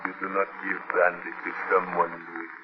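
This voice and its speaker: A man's voice: low-pitched, completely flat delivery, fairly quick, natural voice. A man playing a grave, formal servant who speaks with ominous deliberation in a 1940s radio horror drama. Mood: grave foreboding. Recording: hissy, muffled 1940s radio recording.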